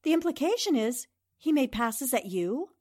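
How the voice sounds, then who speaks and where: A woman's voice: sits high, big pitch swings, moderate pace, a little mellow, breathy edge, exaggerated voice. A woman playing a self-assured, inquisitive newspaper reporter turned detective, articulate and probing, in 1940s radio drama style.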